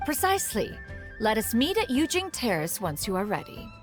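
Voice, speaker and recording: A woman's voice: a little high, wildly swooping pitch, moderate pace. A woman voicing a stately immortal crane adept in a game, speaking in a formal, archaic, self-assured way. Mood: composed. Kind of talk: game voice acting. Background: game music.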